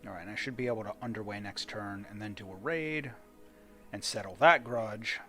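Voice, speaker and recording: A man's voice: fairly low pitch, brisk, sharp tone, soft background music. A man giving casual let's play commentary, thinking aloud and deliberating as he hosts a gaming video.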